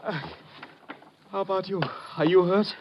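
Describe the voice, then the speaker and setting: Greek cabbie, high voice, formal careful English, rattled, old radio drama, noisy recording. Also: male voice, steady tone, a little slow, lo-fi sound. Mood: shaken, firm. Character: rattled, polite Greek cab driver.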